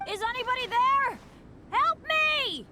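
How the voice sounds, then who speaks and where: A woman voicing an unlucky teenage boy adventurer in an animated video game, earnest, eager and apologetic. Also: very high voice, unhurried, heavy background noise. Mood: desperate.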